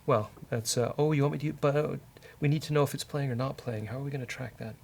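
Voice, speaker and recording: A man's voice: medium pitch, quick pace, creaky, a little mellow. A man hosting a casual coding show, bantering with a co-host and thinking aloud as he works.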